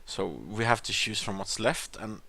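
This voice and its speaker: A man with a Swedish accent gives relaxed gameplay commentary in a somewhat low voice. He thinks out loud, stalling on ums and uhs and restarting his sentences. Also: moderate pace, creaky, dry recording. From Sweden. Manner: rambling, hesitant, many fillers and restarts. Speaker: gaming channel host.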